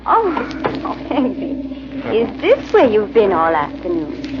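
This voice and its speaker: An actress playing a playful, curious female companion in an old-time radio thriller, with lively banter. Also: medium pitch, slow pace. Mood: teasing. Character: Elaine, playful, teasing, curious lady companion. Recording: muffled old radio, faint hiss.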